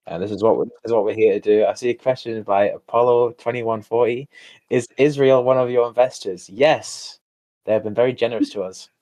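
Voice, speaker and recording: A young man co-hosting a casual livestream, quick and teasing. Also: medium pitch, warm tone, low-quality recording. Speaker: co-host.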